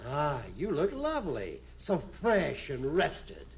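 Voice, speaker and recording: A man playing a genial, flattering middle-aged American businessman in 1950s radio sitcom style, on a muffled recording. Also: fairly high pitch, takes it slowly, gravelly, full character voice. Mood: effusive. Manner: effusive, jovial.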